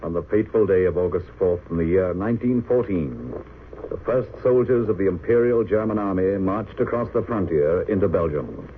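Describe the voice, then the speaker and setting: A man's voice: low-pitched, animated, normal speed. A man narrating an old radio drama in a grave, theatrical, formal announcer's style. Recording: noisy narrow-band old radio recording.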